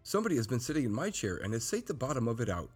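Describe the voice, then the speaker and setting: A man voicing a little small wee bear in a storybook, childlike and upset, with a small, sharp voice. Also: mid-range voice, very animated, racing, breathy edge, faint music behind, voice pushed up.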